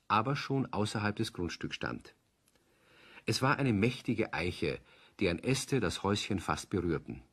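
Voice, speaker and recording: A man with a husky voice narrating a children's audio play as a scripted storyteller. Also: lowish voice.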